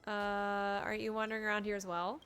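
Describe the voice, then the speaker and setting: A woman's voice: mid-range voice, flat delivery, brisk, fairly bright tone, clear, resonant tone, soft background music. A woman giving casual, playful livestream commentary as she plays a game, reacting as she goes. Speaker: game streamer.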